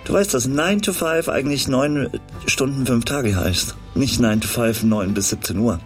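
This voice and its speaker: A man's voice: medium pitch, moderate pace, faint music behind. A man co-hosting a morning radio show, chatting animatedly and laughing easily. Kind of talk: casual joking radio chat.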